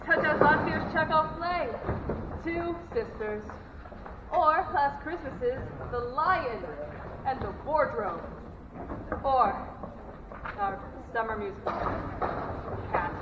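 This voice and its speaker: A woman's voice: high voice, animated, slow pace, pauses often. A young woman acting as a proud, flustered drama society director giving a formal, theatrical stage speech. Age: teen or young adult. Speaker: student actor. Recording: echoey noisy hall, distant muffled mic.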